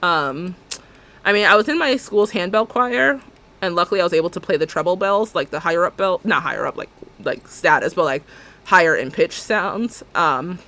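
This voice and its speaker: A young woman with a deep alto voice, chatting casually and rambling as she streams a building game, laughing often and talking to her viewers. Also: lively intonation, normal speed, bright, forceful tone.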